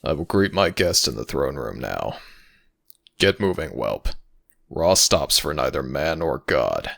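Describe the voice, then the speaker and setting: Stern tired god of the underworld, super deep n gravelly, bright edge, formal asmr roleplay. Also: male voice, very animated, heavily acted. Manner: formal, commanding, measured. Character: Anubis, stern, weary male god of the dead. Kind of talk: ASMR audio roleplay acting.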